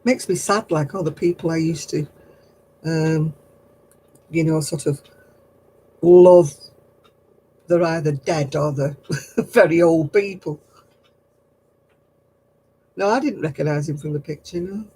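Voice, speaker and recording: An older woman chatting casually on her craft livestream, answering viewers in a low, husky voice and a rambling, gossipy way. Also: takes it slowly, pauses often, warm tone, a little hiss or hum, poor audio. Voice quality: low, husky voice after throat surgery.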